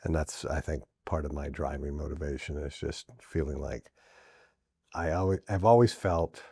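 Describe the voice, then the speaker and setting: Man from new orleans, middle-aged, very low voice, husky, earnest and reflective, dry close mic. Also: moderate pace, dark tone, dry recording. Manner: earnest, reflective, with frequent restarts. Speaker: podcast guest. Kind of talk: casual interview talk.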